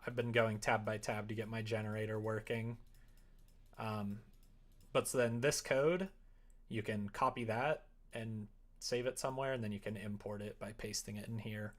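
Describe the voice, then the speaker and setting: A man's voice: fairly low pitch, steady tone, moderate pace. A man streaming casually, talking informally and muttering to himself as he works. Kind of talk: casual livestream chat while coding a visual novel.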